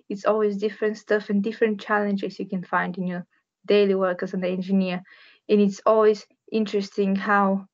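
A woman's voice: mid-range voice, darkish tone. A woman in her twenties from Bulgaria, with a Bulgarian accent, giving an informal careers talk to camera as an engineer. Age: 20s. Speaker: civil structural engineer, careers ambassador. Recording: dull, muffled webcam audio.

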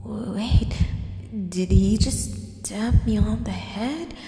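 A woman voicing a possessive, lovestruck teenage schoolgirl in an ASMR roleplay, breathy and often dropping into whispers. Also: mid-range voice, extremely slow, mellow. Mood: flustered, excited. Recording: echoey, long reverb, music behind.

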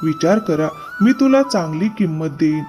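A man's voice: on the high side, lively intonation, normal speed, a little mellow, natural voice. A man playing a rich, pushy, businesslike buyer in a cartoon moral story. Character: rich, luxury-loving, pushy male cart buyer. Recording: music behind the voice.

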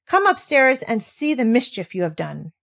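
A woman's voice: a little high, big pitch swings, moderate pace, creaky, a bit bright, heavily acted. A woman reading a storybook as a stern, indignant housekeeper who scolds in formal, severe phrases. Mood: indignant scolding.

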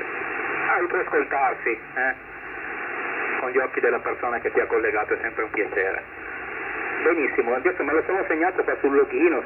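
A man chatting as an amateur radio operator, heard through a single-sideband radio transmission. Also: medium pitch. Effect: SSB radio transmission. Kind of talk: amateur radio contact, conversational. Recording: narrow-band, noisy shortwave-style radio speaker. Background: loud radio hiss and static.

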